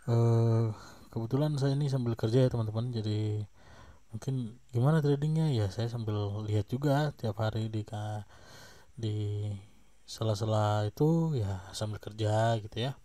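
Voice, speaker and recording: Guy w/ a bit of a cough going over stock charts, laid back, lots of fillers, warm voice. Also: fairly low pitch, unhurried. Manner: relaxed, many fillers and tag questions. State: slight cough. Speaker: stock market channel host. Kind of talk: casual stock chart review.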